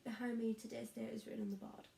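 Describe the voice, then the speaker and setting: A woman's voice: fairly high pitch, little pitch movement, fast, creaky, mellow. A woman playing a weary, disillusioned young schoolteacher in an introspective, confiding stage monologue. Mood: weary, overwhelmed. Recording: echoey room.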